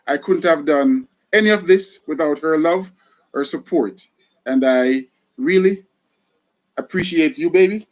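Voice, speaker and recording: A man's voice: fairly high pitch, measured pace. A middle-aged man with a Jamaican accent hosting a casual live chat, thanking people often. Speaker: gardening channel host, retired soldier.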